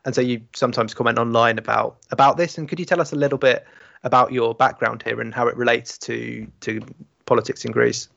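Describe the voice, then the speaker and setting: A man's voice: mid-range voice, steady tone, a little fast, vocal fry, a bit bright. A man co-hosting a podcast, asking questions casually and a little hesitantly. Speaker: podcast co-host, interviewer. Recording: dull, band-limited online audio.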